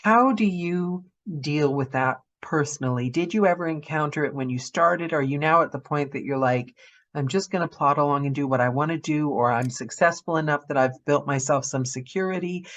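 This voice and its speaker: A woman's voice: sits low, normal speed. A woman hosting a podcast interview. She asks long questions and responds with emphatic enthusiasm. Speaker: podcast host. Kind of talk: interview conversation.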